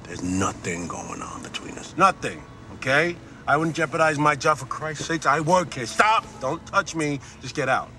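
An actor playing a married businessman in his car, firmly telling a coworker to leave, his voice climbing to shouts. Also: a little high, animated, normal speed, few pauses. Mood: angry. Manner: firm, rising to angry shouting. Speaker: married executive. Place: parked car in a garage. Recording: film audio under music score.

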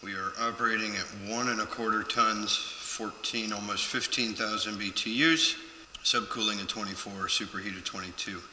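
A man working as an HVAC technician, calmly talking through his readings as he works. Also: lowish voice, normal speed, echoey room, in a large hall, slight background noise, low-quality recording. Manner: methodical, talks through readings. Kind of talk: narrating work on camera. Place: attic, garage and outdoors in snow. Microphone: head-mounted action camera.

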